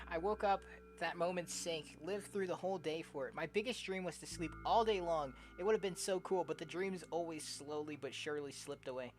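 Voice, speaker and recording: A woman streamer doing a let's-play, reading visual novel text aloud with casual, slangy, expressive delivery. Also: fairly low pitch. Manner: expressive, often giggly, slangy. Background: game music.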